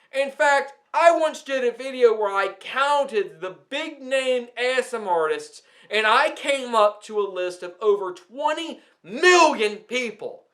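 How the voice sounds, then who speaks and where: Guy with a high voice ranting slow and deliberate, scolding, hammering the same lines over and over. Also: bright, forceful tone. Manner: emphatic, scolding, repeats phrases for effect. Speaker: ASMR content creator. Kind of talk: indignant rant addressed to the platform.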